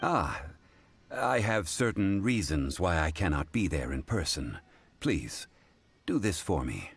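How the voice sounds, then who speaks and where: An actor playing a composed, mysterious gentleman in a video game, speaking in a measured, formal, courteous manner. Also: low voice, big pitch swings, creaky, breathy edge, heavily acted. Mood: calm, formal. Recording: soft game music behind.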